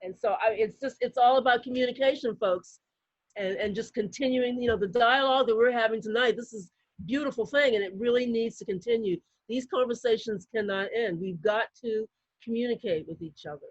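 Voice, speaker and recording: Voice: medium pitch, fairly quick. A moderator of a town hall, earnest and encouraging, often repeating words as he restarts. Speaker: athletic director, moderator. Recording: dry, dull lo-fi audio.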